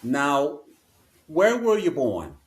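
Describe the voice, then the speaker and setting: A man's voice: on the high side, wildly swooping pitch, very slow. A man hosting a podcast interview, speaking in a formal, announcer-like way. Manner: formal, announcer-style introductions. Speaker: podcast host.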